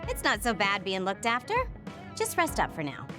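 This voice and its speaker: A woman's voice: mid-range voice, huge pitch swings, moderate pace. An actress playing a lazy, laid-back young woman in a video game. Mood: casual, teasing. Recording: music behind the voice.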